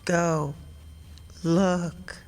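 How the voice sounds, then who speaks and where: Lady doing a stern murdered woman ghost, super slow, clear smooth voice, solemn n terse, radio play. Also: lowish voice, little pitch movement, darkish tone. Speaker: actor in a stage radio play. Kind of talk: theatrical radio drama acting.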